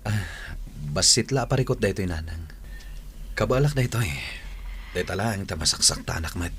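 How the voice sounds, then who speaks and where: A man's voice: deep voice, animated, moderate pace, a bit bright. A man playing an earnest, put-upon office worker in a Filipino radio drama, with an Ilocano accent. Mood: troubled. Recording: noisy old radio recording.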